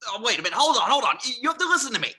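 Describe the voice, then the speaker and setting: A man's voice: extremely high pitch, very animated, racing, sharp tone, slightly rough, heavily acted. A man playing a nervous, put-upon lawyer in debt, stammering and pleading in a comedy table read. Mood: anxious pleading. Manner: stammering, flustered.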